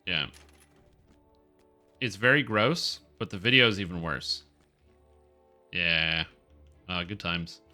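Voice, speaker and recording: A man's voice: low voice, lively intonation, slow, pauses often, pushed and bright, faint music behind. A man with a congested, nasal voice chatting casually and ramblingly on a game live stream, close to the microphone. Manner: rambling, talks to himself and viewers. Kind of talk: casual live-stream chat while gaming.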